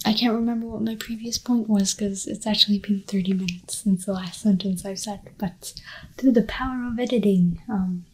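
A woman doing a soft-spoken ASMR chat in her apartment at night, rambling and drifting between a quiet voice and a whisper. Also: mid-range voice, steady tone, normal speed, breathy edge, poor audio. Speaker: ASMR creator.

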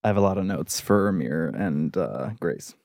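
A man's voice: sits low, lively intonation, moderate pace, a little mellow, no echo, studio quality. A man co-hosting a podcast in casual chat, teasing and playful. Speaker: podcast co-host.

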